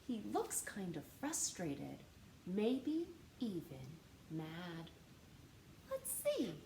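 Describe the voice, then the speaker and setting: A woman reading a story aloud to children in an animated, teacher-like way, stressing words and posing questions. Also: lowish voice, very slow. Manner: expressive teacher-like delivery, dramatic gasps and emphasis. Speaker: storytime reader. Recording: roomy echo with faint background noise.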